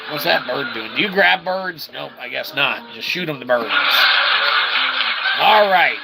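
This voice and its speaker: A man's voice: a little high, animated, unhurried, vocal fry, very noisy. A man giving casual, chatty let's-play commentary as a game streamer, rambling along and tagging his remarks with "okay" and "all right". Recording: loud game music behind the voice. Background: video game music.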